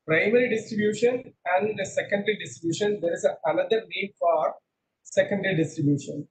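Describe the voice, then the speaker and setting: A man's voice: high-pitched, brisk, a little hiss or hum, poor audio. A middle-aged man teaching an online class over a video call, quizzing students and repeating himself, mixing English with Hindi. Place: small room indoors. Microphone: laptop webcam on a video call.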